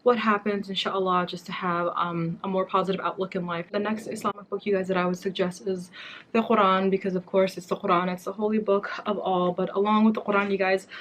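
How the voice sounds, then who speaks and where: A woman's voice: mid-range voice, a bit bright, slight background noise. A young woman whose first language is Somali, vlogging earnestly to her viewers and often calling them "you guys.". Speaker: beauty and lifestyle vlogger. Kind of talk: casual talk to camera.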